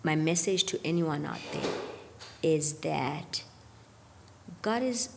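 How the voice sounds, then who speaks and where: Low-voiced gravelly woman, earnest. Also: animated, slow, big-room sound, background noise. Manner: earnest, drops to near-whisper on key points. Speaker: podcast guest, gospel singer. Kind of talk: reflective faith conversation. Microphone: studio mic on boom arm.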